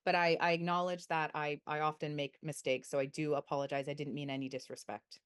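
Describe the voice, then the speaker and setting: A young adult woman answering interview questions as a researcher, thoughtful and measured, sometimes correcting herself. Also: sits low, flat delivery, clean tone. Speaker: guest researcher.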